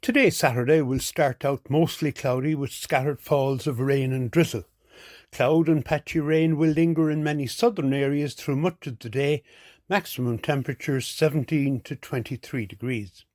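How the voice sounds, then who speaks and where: A man's voice: medium pitch, fairly even intonation, normal speed, darkish tone, no echo, clean studio sound. An Irish man reading a scripted weather forecast for community radio.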